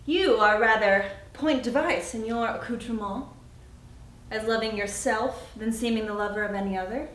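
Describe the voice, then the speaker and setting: A young woman performing a witty, spirited Shakespearean heroine in a theatrical, animated and emphatic monologue. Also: a little high, moderate pace, close to an everyday voice. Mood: spirited mockery. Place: friend's warehouse. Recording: echoey warehouse, distant mic.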